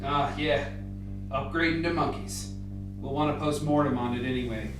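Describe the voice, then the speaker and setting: A man playing a measured, methodical lead scientist in a radio play. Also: medium pitch, steady tone, lightly acted. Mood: commanding, focused. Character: measured, methodical male scientist leading a lab team. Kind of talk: amateur radio play acting. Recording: very echoey room, distant, music behind.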